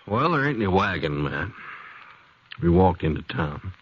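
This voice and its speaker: A man's voice: sits low, sing-song, moderate pace, slightly rough, exaggerated voice. An actor playing a proud but humbled, weary homesteader father with subdued rustic speech in a western radio drama. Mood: resigned. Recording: dull, noisy old radio recording.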